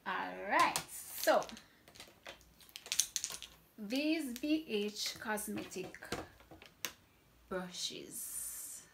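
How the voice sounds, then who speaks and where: A young woman from Trinidad works as a makeup artist and reviews her products for a casual vlog. She talks slowly, with a gravelly fry, and stacks up repeated words to stress how much she loves something. A faint hiss of background noise sits under her voice. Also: medium pitch, fairly bright tone. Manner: enthusiastic, repeats words for emphasis.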